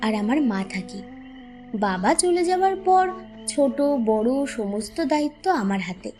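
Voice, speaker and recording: Cartoon role: woman as sorrowful, kind young woman, distinctly high voice, plaintive, over music. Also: big pitch swings, moderate pace, dark tone. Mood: tender, sad. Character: compassionate, sorrowful young woman telling her letter. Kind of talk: cartoon drama letter monologue. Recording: music behind the voice.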